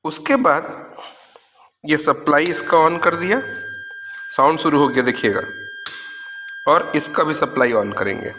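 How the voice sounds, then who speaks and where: A man's voice: fairly high pitch, unhurried, long pauses, bright, forceful tone. A man giving a step-by-step lab demonstration as a physics teacher, instructing and pointing things out as he goes. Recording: echoey room, noisy with hum.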